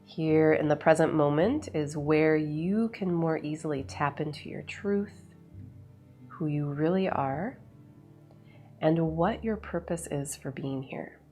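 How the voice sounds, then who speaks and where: A woman leading a guided meditation in a soothing, unhurried way, with measured phrases and long pauses. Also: deep voice, mellow. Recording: voice over background music. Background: background music.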